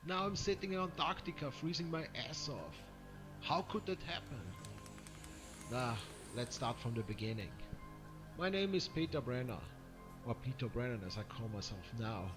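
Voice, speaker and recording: An actor with an Austrian accent playing a weary, sardonic ex-cop turned private eye in a game intro, with music behind. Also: male voice, mid-range voice, animated, normal speed, close to an everyday voice. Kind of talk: hard-boiled game intro narration.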